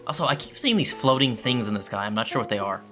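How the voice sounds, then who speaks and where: A man's voice: medium pitch, animated, fast, over music. A man giving casual commentary as a gaming streamer, rambling and thinking aloud.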